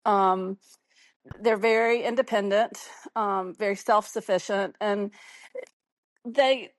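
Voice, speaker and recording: A woman's voice: medium pitch, steady tone, slow, dry recording. Chatty Southern woman, dry close sound. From Mississippi, US South. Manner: chatty, friendly, laughs often. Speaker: podcast guest. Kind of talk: casual interview chat.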